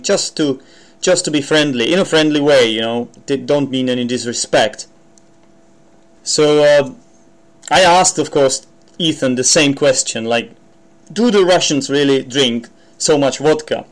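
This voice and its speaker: A man from the Czech Republic with a Czech accent, an English teacher explaining idioms on his podcast in a chatty way, with many fillers and restarts. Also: medium pitch.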